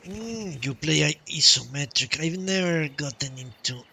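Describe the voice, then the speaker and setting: A man's voice: fairly high pitch, lively intonation, unhurried, sharp tone. A man giving casual live commentary as he streams a game, offhand and half talking to himself. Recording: clear game noise behind voice. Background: game ambience and sound effects.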